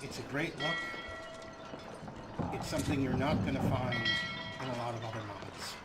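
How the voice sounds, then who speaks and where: A man's voice: mid-range voice, normal speed, loud music behind the voice. A man hosting a video game let's play, giving commentary as he goes. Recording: loud game ambience behind voice.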